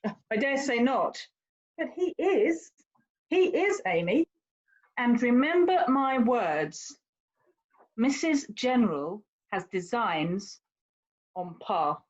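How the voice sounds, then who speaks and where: A dull, slightly lo-fi recording of a woman acting a haughty young lady in a period stage drama. Her voice sits fairly high and swoops about as she lectures like a worldly, condescending older sister, stressing her points theatrically. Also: sing-song, slow, pauses often. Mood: insistent.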